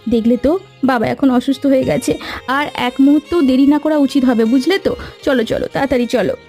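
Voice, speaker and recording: A woman voicing a gentle, dutiful young wife in a fairy-tale cartoon. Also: sits high, fairly even intonation, brisk, soft background music. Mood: reproachful, urgent.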